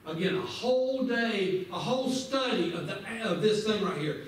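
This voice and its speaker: A man leads a Bible lesson from the front of a large church, his voice pitched high and fervent as he quotes scripture and presses his listeners. The sound is heavily echoey, heard from a camera far back among the pews. A faint hiss of room noise sits underneath. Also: animated, normal speed. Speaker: Sunday school teacher. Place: large church sanctuary, indoors.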